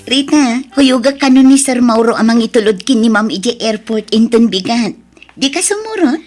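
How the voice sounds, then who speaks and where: A woman's voice: fairly high pitch, lively intonation, normal speed, a little mellow, faint music behind. A woman playing a young wife, maid and daughter in a radio drama, emotional and pleading. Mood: chatty.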